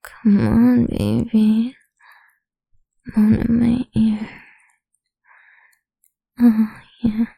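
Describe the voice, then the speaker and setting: A woman's voice: a little high, creaky, dark tone, husky, dry recording. A woman performing an intimate audio roleplay, mostly whispering close to the microphone in a dominant, teasing manner.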